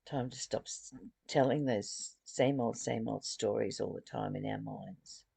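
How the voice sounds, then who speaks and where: A woman giving an informal spiritual lesson, reading aloud deliberately and adding chatty explanations of her own. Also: very deep voice, creaky, mellow, husky, no room sound. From Australia. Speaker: spiritual study host reading and explaining a book.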